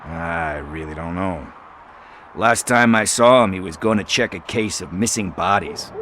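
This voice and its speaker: An actor playing a chatty, evasive street hustler who talks in wheedling casual slang. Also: male voice, deep voice, a little slow, a bit bright, a little hiss or hum. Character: shifty street hustler, middle-aged man, chatty and evasive.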